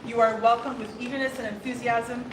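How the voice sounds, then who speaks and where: A woman from Ireland, her voice set a little high, gives a ceremonial welcome with frequent laughs and restarts. She sounds roomy and echoey, as if in a large hall, with steady background noise under her. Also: fairly quick. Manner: warm, laughing, many fillers and restarts. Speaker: new princess opening a court. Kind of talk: ceremonial speech and casual exchange.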